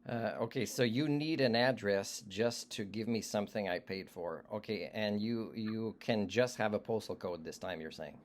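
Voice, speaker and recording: A man speaking as an aggrieved customer in a phone complaint, argumentative and halting, often trailing off. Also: fairly low pitch. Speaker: customer on a service call. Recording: noisy recorded phone call, clicks.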